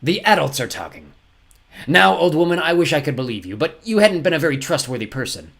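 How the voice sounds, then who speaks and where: A man's voice: fairly high pitch, big pitch swings, a little fast, gravelly, a bit bright, pitched above the performer's own voice, heavily acted. A man voicing a menacing, sly one-eyed sea captain villain with a mocking, theatrical manner in a storybook reading. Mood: curt, impatient.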